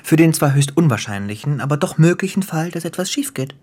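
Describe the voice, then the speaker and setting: An actor playing a menacing, sardonic gangster boss in a radio drama, taunting and playful, slipping into breathy whispers. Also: male voice, a little high, animated, a little fast, a little mellow. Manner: taunting, theatrical, dropping into whispers. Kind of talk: German radio drama acting.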